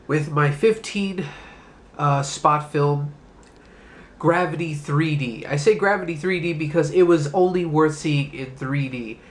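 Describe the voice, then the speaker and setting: Stuffed-up man with a cold, casual reviewer. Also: on the high side. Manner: loose, rambling, swears casually. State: congested, stuffed-up nose; coughing, sick. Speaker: movie review host. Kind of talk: casual solo video review.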